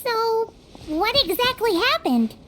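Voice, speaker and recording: Tiny fairy girl, video game companion, extremely high squeaky voice, very animated, childlike, excitable. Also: slow, noisy background, heavily acted. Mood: concerned. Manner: exclamatory, refers to herself in third person.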